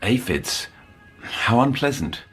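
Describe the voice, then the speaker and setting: An actor playing a polite, probing counsellor to troubled children in an audio drama, formal and coaxing, choosing words precisely. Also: male voice, medium pitch, very animated, slow pace, fairly bright tone, husky, faint music behind, exaggerated voice. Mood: probing, solicitous. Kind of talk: scripted audio drama acting.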